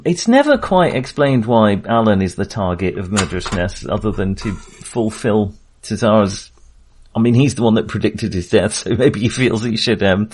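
Male podcast host, lowish pitch, conversational film chat, tentative phrasing with frequent rewording. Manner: hesitant, circling back to rephrase. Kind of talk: casual film discussion.

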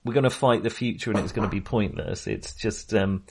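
Male British podcast co-host, lowish slightly husky voice, conversational, thinking aloud in broken, rambling sentences. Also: fairly quick. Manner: hesitant, rambling, self-interrupting. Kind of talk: casual film discussion.

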